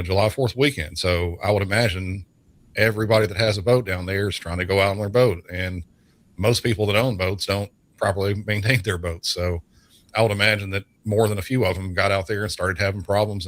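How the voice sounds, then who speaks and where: Low-voiced middle-aged man, expert guest. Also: fairly even intonation, fairly quick, a bit bright. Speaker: 911 dispatcher as expert guest. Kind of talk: conversational expert commentary.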